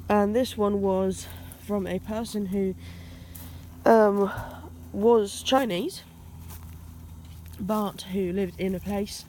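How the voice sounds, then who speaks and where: Slow-paced woman. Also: mid-range voice, vocal fry, warm tone, a little hiss or hum. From Finland. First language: Finnish. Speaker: dog owner and vlogger. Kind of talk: casual vlog talk. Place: outdoors on a walk.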